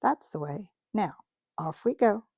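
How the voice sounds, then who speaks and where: A woman's voice: medium pitch, lively intonation, a little slow, creaky, dark tone, slightly rough, dry recording, studio quality, heavily acted. A woman reading aloud and voicing a polite, nervous male faun who talks eagerly and repeats himself.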